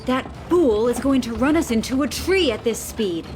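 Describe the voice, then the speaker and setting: A man voicing a sharp-tongued, shrewd female demon in an audiobook, with a brisk, knowing manner. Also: very high-pitched, lively intonation, faint music behind, pitched above the performer's own voice. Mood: irritated. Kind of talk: dramatised audiobook character dialogue.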